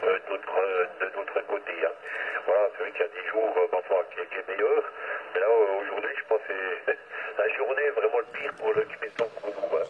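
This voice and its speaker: A man's voice: fairly low pitch, fairly even intonation, brisk. A man in casual CB chat as an operator, heard over a two-way radio. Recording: tinny radio transmission with clear static.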